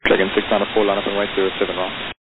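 A man in an airport tower rattles off clipped instructions in a low monotone. The aviation radio makes him thin and narrowband, with clear hiss. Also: racing. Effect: air traffic radio. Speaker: air traffic tower controller.

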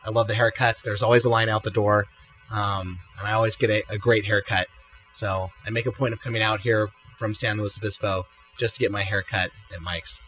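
A man's voice: on the low side, fairly even intonation, fairly quick, a bit bright, faint music behind, low-quality recording. A man, a regular customer giving a casual testimonial in a small barbershop, easygoing and restarting his words. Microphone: handheld mic held by interviewer.

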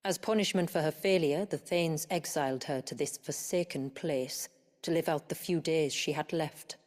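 An actress playing a grave village woman who recounts her people's tragic past in a measured, formal, earnest way for a video game. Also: low voice, darkish tone, close to an everyday voice. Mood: grave, reverent. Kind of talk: solemn scripted storytelling in video game drama.

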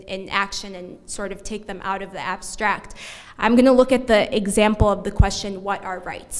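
A young woman presents an academic paper at a podium microphone. Her delivery is measured and steady, with a few small restarts as she reads from her notes, and her voice sits at an ordinary middle pitch. A long reverb from a large conference hall trails behind her words.

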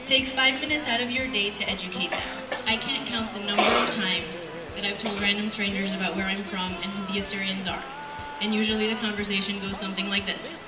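A woman's voice: medium pitch, fairly even intonation, a little fast, hardly any pauses. Young woman speaking in a roomy hall. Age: young adult. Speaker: speaker at a community event. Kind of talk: prepared public speech. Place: event hall, at a podium. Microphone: handheld mic on PA, picked up by distant camera. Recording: roomy, distant sound with music.

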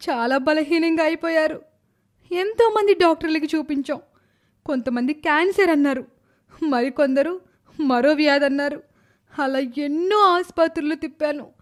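A greedy young daughter-in-law from an animated moral story, acted by a woman. Her voice is very high, and her delivery is theatrical and calculating, with a cruel, self-serving temper. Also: natural voice. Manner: calculating, dramatic, self-pitying when pleading to others.

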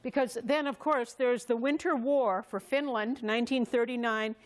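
A woman's voice: on the high side, fairly even intonation, normal speed. A woman in her seventies, chatty and effusive, hosting an informal cooking demonstration. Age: 70s. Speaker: cooking class host. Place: large wood-paneled community hall.

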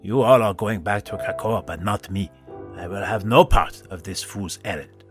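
A man's voice: on the low side, moderate pace, music in the background, natural voice. An actor playing a proud, hot-tempered young male superhero in an audio drama, speaking formally and with disdain. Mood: contemptuous.

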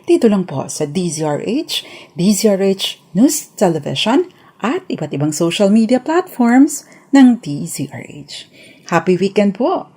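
A woman's voice: medium pitch, sing-song, unhurried, mellow, a little hiss or hum. A woman with a Filipino accent giving formal, polite legal explanations as an attorney on a radio segment.

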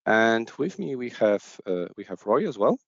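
A man's voice: mid-range voice, sing-song, moderate pace, creaky, no echo. A man hosting an online webinar as an agile coach, hesitant, with many fillers and restarts, heard over a headset on a video call. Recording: dull, lo-fi video call audio.

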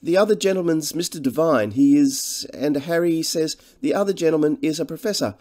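A man's voice: on the high side, fairly quick, pitched above the performer's own voice, natural voice. A man voicing a fretful, humble working-class English countrywoman, deferential and hesitant, as in an audiobook. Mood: fretful, preoccupied. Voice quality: monotonous.

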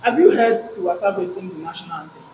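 A man's voice: sits high, fast, a little mellow. A young man with a Nigerian accent in a casual debate, insistent and opinionated. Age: young adult. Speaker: participant in a music debate. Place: indoor gym, medium-sized room. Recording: echoey, noisy gym room pickup.

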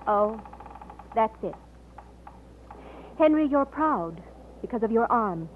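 An actress playing a tender but bold young bride-to-be in an old radio romance, with a gentle, theatrical delivery. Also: female voice, on the high side, animated, takes it slowly. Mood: firm, tender. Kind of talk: 1940s radio acting. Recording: tinny, noisy old radio recording.

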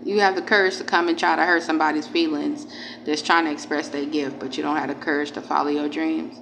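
A woman with a low voice and a gravelly fry at the edges talks through a tarot reading. She is informal and emphatic, circling back over phrases as she addresses the viewer directly. Clear noise sits in the recording. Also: steady tone, fairly quick. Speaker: tarot reader, channel host. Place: indoors at a table. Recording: clearly noisy home recording.

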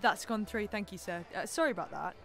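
An actress playing a polite, apologetic restaurant server in a naturalistic audio drama. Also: female voice, medium pitch, animated, fairly quick, gravelly, fairly bright tone, soft background music.

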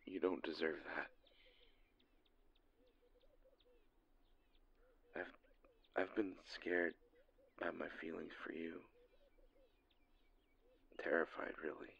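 A man performing an intimate audio roleplay as a lovesick, insecure boyfriend, halting and breathy with a gravelly voice, often sinking into whispers. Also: sits low, flat delivery, a bit bright, muffled recording, full character voice. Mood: pained, pleading. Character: lovesick, insecure boyfriend longing for his distant partner. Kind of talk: scripted intimate romantic monologue.

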